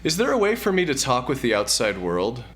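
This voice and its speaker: A man's voice: mid-range voice, wildly swooping pitch, a little fast, a bit bright, slight background noise. An actor playing a bewildered, wry man trapped in a digital afterlife, with a hesitant, dry, naturalistic delivery in a scripted audio comedy. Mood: skeptical, dry.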